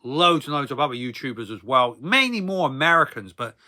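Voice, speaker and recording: A man in his thirties with a very bright, forceful voice at an average male pitch. He talks like a vlogger doing a casual story time, rambling along in a hesitant, repetitive way and often tacking filler tags onto the ends of his phrases. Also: expressive, a little fast.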